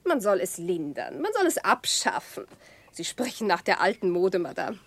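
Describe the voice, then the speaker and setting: Swooping, breathy frivolous countess. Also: female voice, on the low side, sing-song, normal speed, fairly bright tone, heavily acted. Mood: affected, playful. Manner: affected, theatrical society chatter. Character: frivolous, gushing young French countess hungry for sensation. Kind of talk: German radio play acting.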